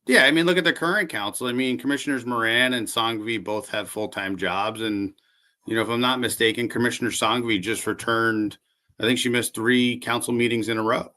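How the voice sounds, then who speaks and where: A man running for city council, answering interview questions calmly and conversationally, with lots of fillers. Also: medium pitch, brisk. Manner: calm, conversational, many fillers.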